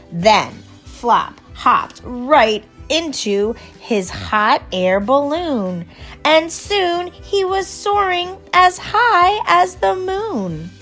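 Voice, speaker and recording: Woman, children's storybook reader, rhyming read-aloud, slow expressive delivery, light music behind. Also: on the high side.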